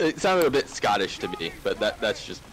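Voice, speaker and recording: A man's voice: fairly low pitch, big pitch swings, brisk, a bit bright, slight background noise, poor audio. A young man chatting casually on a gaming stream, easygoing and encouraging, offering friendly advice. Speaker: stream guest. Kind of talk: casual voice chat.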